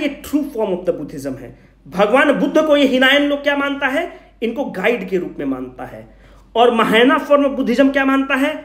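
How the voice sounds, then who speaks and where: A man teaching an informal exam-coaching class, emphatic and repetitive, posing questions he answers himself and mixing in English phrases. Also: high-pitched. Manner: emphatic, repeats key points; mixes English phrases into Hindi. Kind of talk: informal history lecture with rhetorical questions. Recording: echoey room.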